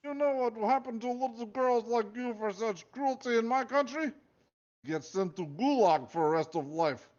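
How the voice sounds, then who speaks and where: A man's voice: extremely high pitch, lively intonation, moderate pace, a little mellow, muffled recording, voice pushed up. A man voicing a pompous male Russian prison guard with a heavy put-on Russian accent and broken English. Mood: indignant. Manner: broken English, boastful, theatrical.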